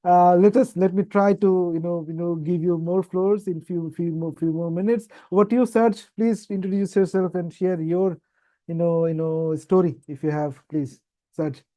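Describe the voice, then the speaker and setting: A man's voice: sits high, steady tone, normal speed, mellow, poor audio. A man hosting a panel session, effusive and given to repeating his phrases. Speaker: event host. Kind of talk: moderating an online panel session.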